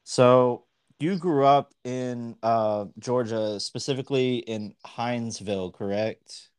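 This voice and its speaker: A man's voice: mid-range voice, takes it slowly, smooth. A young man hosting a sports podcast, asking interview questions in a loose, hesitant way, with "kinda" and "like" throughout. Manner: hesitant, loose phrasing with fillers. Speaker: podcast host.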